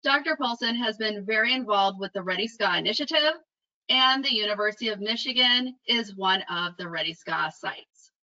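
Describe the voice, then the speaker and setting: A woman's voice: medium pitch, normal speed, pushed and bright. A woman introducing a guest speaker at a webinar in a polite, scripted way. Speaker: webinar host. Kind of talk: formal introduction. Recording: distant muffled call audio.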